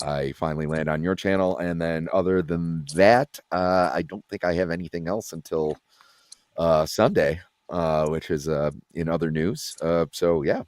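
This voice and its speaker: A man with a low voice chats casually on a group stream. He is loose and joking, somewhat hesitant, and recorded dry and close. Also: clear, resonant tone, dry recording. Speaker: streamer, guest reader. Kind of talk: casual chat.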